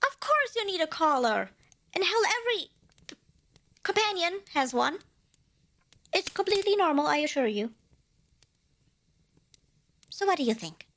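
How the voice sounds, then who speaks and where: A woman's voice: extremely high pitch, big pitch swings, normal speed, long pauses, a bit bright, exaggerated voice. A woman playing a cute, eager little demon who craves cuddles, wheedling playfully in a fantasy ASMR roleplay. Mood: flustered, coaxing. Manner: playful wheedling salesmanship with flustered self-corrections. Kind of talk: scripted ASMR fantasy roleplay addressed to the listener.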